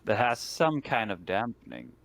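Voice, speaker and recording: A man's voice: fairly low pitch, wildly swooping pitch, moderate pace, gravelly, fairly bright tone. A man playing a tabletop game, musing aloud in casual, colloquial and sometimes sweary talk.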